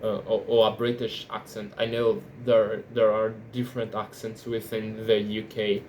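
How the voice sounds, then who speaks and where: A Brazilian man speaking English as a second language, his first being Portuguese, in an unscripted monologue, often halting and restarting his sentences. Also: on the low side, flat delivery, darkish tone, a little hiss or hum, low-quality recording. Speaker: language learner vlogger.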